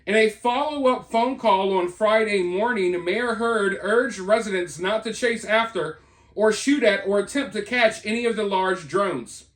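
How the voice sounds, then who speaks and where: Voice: mid-range voice, a bit bright. A host presenting news and commentary in an informal, conversational and emphatic way. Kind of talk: reading a news report with off-the-cuff opinion commentary. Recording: roomy, echoey sound.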